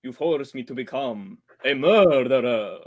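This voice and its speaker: A laid-back radio actor in a vintage-style radio play , acted by a man. He speaks slowly and deliberately. His pitch swings widely, giving a theatrical feel as he rehearses villain lines. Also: expressive. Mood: theatrical menace. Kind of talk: old-time radio drama acting.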